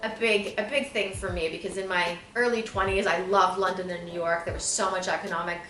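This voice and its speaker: A woman's voice: fairly low pitch, steady tone, fairly quick, fairly bright tone. A woman in her thirties from Southern California, answering interview questions in a conversational, explanatory way with frequent asides. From born in Bulgaria, grew up in Southern California. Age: 30s. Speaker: interview guest. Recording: roomy echo, distant mic, faint music.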